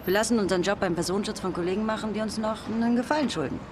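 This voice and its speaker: A woman's voice: mid-range voice, rapid-fire, noisy background, close to an everyday voice. A woman playing a brash Berlin detective. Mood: determined. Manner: brash, streetwise, blunt. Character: tough young female Berlin police detective. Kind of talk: crime series drama.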